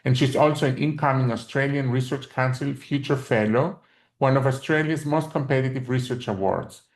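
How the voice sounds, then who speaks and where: A man's voice: mid-range voice, normal speed, echoey room. A man hosting a webinar, reading a formal introduction of the speaker and giving the audience housekeeping notes. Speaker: webinar host.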